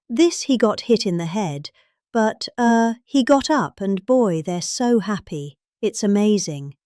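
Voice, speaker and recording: A woman's voice: fairly high pitch, dark tone, dry recording, clean studio sound. A middle-aged woman with a British accent giving a dramatic reading of a rambling political speech, with exaggerated emphasis.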